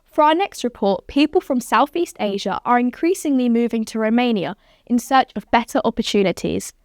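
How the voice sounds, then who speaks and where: A woman presenting a news bulletin, introducing reports with a crisp, polished read. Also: on the high side, lively intonation, brisk, no room sound, studio quality. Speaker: news anchor. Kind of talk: scripted news anchor read.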